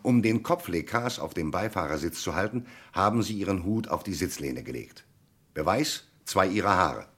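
A man acting a formal, authoritative police commissioner who deliberately questions suspects in a German radio crime drama. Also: low-pitched, lively intonation, a bit bright, husky, full character voice. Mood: stern, insistent. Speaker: actor.

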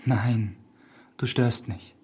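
An actor playing an earnest, defiant priest in a German radio drama, with a solemn rhetorical delivery. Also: male voice, mid-range voice, drawn-out words, darkish tone, breathy edge. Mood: flustered, hushed. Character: earnest young priest, defiant preacher of forbidden truth. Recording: studio drama with prominent music behind.

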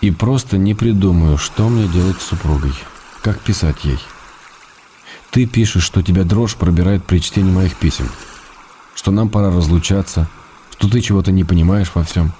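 An actor playing a weary, exasperated but loving husband, reading his letter with measured, affectionate reproach in a radio play. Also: low-pitched, mellow, slight background noise, natural voice.

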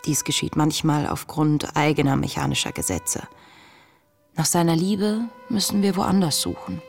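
An actress playing a young woman diarist in a radio play, earnest and reflective, speaking in a measured, intimate way. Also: low voice, lively intonation, creaky. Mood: solemn, reflective. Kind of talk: radio play, diary read as monologue. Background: music.

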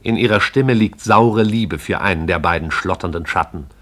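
A man narrating a radio play in a measured, poetic storytelling manner. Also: sits low, a bit bright.

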